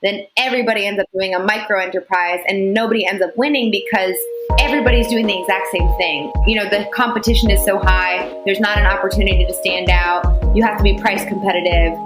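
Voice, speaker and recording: A young woman, a company founder, answering interview questions in a measured, explanatory way with frequent "you know" fillers. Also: medium pitch, fairly even intonation, reverberant, music in the background. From United States. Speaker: founder, podcast guest.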